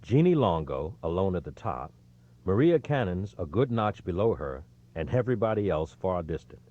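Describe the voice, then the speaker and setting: A man reading scripted nonfiction as an audiobook narrator, in a measured, expressive storytelling delivery. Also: low voice, dull audio.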